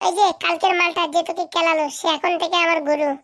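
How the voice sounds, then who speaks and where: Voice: very high-pitched, flat delivery, fast, a bit bright. A performer voicing an eager, fawning young village boy who trails after his boss in a comic cartoon. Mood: chatty. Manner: deferential, chatty, fawning.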